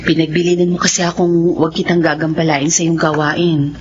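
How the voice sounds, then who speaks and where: A woman's voice: low voice, little pitch movement, brisk, lightly acted. A woman acting a timid, apologetic Filipino wife in a radio horror drama. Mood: hesitant. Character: timid, apologetic wife obeying her domineering husband. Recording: dull radio sound, faint noise.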